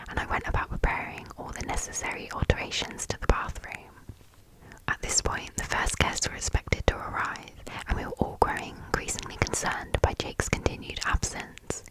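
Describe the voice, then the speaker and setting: A woman reading a story aloud in a soft, fully unvoiced ASMR whisper, close to the microphone. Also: low-quality recording.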